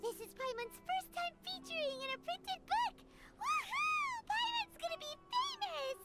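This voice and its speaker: A woman voicing a tiny excitable fairy companion in a video game, squeaky and childlike, exclaiming. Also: measured pace, heavily acted. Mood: thrilled. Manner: exclaiming, speaks of herself in third person. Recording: game audio with loud music.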